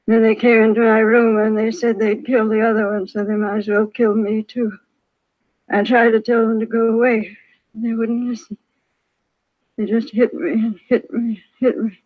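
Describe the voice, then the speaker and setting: An actress playing a dazed, grieving, injured widow in an old radio crime drama, weak and repetitive, in short broken sentences. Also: female voice, on the high side, level tone, moderate pace, lightly acted. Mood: distraught. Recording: thin, phone-like vintage radio recording.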